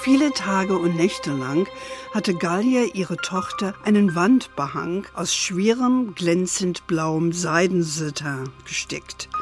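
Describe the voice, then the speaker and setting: Slow-speaking storytelling grandmother. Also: lowish voice. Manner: measured, storybook narration. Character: gentle playful old grandmother telling family history at bedtime. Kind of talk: audio drama storytelling. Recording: music under the voice.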